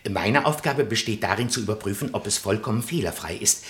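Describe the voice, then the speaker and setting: An actor playing an eager, naively proud factory checker in a 1960s German radio comedy, polite and effusive, stammering when flustered. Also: male voice, lowish voice, lively intonation, a little fast, fairly bright tone. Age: 40s. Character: eager, pleading, proudly naive village-born factory inspector.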